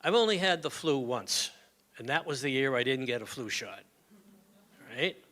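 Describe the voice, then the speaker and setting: A man's voice: mid-range voice, expressive, moderate pace, pushed and bright. An older man giving a plain, practical health talk to a community gathering, speaking conversationally. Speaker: community moment presenter. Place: meeting hall with audience.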